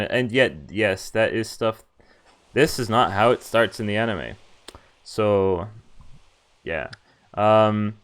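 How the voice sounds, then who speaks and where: A man streaming a visual novel, reading its text aloud and chatting in a halting, thinking-aloud way. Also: lowish voice, a bit bright, clear, resonant tone.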